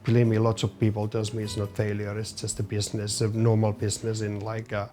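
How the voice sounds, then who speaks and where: Finnish-accented man with a mellow, low voice. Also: monotone, a little hiss or hum. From Finland. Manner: halting, searching for words, loose grammar. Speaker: chef and business owner. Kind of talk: interview answers.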